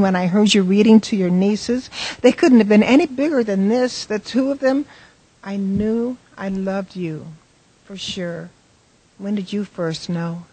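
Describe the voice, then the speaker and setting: A woman's voice: mid-range voice, warm tone, breathy edge, low-quality recording. A woman acting a playful, sentimental wife in a radio drama, affectionate, teasing and coaxing.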